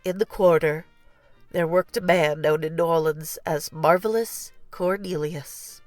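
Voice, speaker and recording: Slow-paced woman reading a children's story. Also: low-pitched, dry recording, faint music behind. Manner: expressive, deliberate storytelling. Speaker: storybook reader. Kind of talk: children's read-aloud narration.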